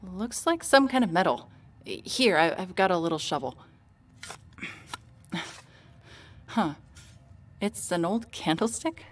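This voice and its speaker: A woman playing a calm, observant young vampire researcher in an audio drama, with a matter-of-fact, casual delivery. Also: lowish voice, takes it slowly, pauses often. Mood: curious, concerned. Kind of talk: audio drama acting, explanatory.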